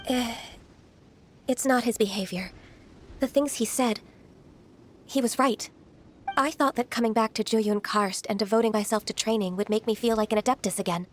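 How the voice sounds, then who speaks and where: A woman playing a gentle, earnest young half-immortal in a video game, polite, measured and slightly hesitant. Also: a little high, fairly even intonation, a little hiss or hum, close to an everyday voice. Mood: downcast, hesitant. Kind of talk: anime-style video game acting.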